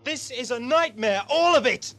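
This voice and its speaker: Guy acting impulsive british time traveller hero in an audio drama, high voice, swoops all over. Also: animated, moderate pace, a bit bright, heavily acted. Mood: anguished, desperate.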